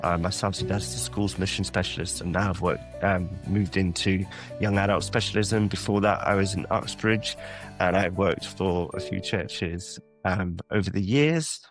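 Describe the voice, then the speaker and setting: A man's voice: low voice, normal speed, music in the background. A man from the London area, a church youth ministry worker, answering interview questions in a thoughtful, hesitant way with many ums. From England (London area). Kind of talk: podcast interview guest.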